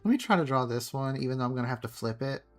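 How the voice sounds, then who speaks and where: Guy on an art stream, mid pitch, kinda murmury and half-distracted, faint music in the back. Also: expressive, racing. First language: English. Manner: soft, musing, trails off mid-thought while working. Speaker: streamer drawing live. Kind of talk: casual chat while drawing. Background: faint background music.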